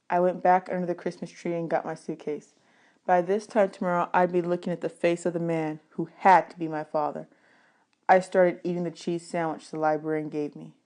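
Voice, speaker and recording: Low-pitched woman reading a children's novel aloud. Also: dark tone. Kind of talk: audiobook narration of a children's novel.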